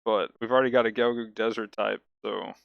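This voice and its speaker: A man's voice: fairly low pitch, steady tone, brisk, creaky, dry recording, lo-fi sound. A man chatting casually as a co-host on a gaming podcast.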